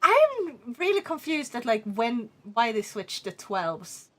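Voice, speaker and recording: Animated Swedish-accented woman streamer. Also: fairly high pitch, takes it slowly. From Sweden. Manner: animated, rambling, swears, laughs often. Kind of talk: casual livestream chat.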